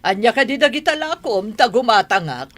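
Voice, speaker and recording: Haughty, animated Filipina madam. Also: female voice, on the high side, normal speed. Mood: curt, dismissive. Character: haughty, demanding female employer, a wealthy madam. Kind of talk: radio drama acting.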